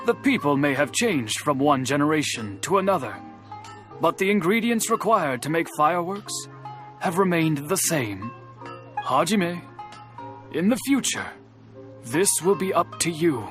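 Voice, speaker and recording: An actor playing a proud older master craftsman in an anime-style video game, formal, measured and earnest. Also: a little high, sing-song, fairly bright tone, faint music behind. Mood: solemn.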